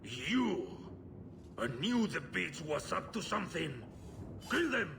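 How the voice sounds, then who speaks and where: A man's voice: high voice, very animated, slow pace, creaky, background noise, exaggerated voice. An actor playing a menacing, lecherous criminal henchman in a video game, with a domineering, leering manner. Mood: furious.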